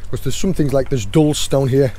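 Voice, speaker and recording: A middle-aged man with a hoarse, croaky voice, chatting casually outdoors on a rocky shore as he guides viewers through foraging. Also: mid-range voice, brisk, mellow, a little hiss or hum. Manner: chatty, points things out as he goes. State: hoarse, croaky sore throat. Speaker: channel host and foraging guide.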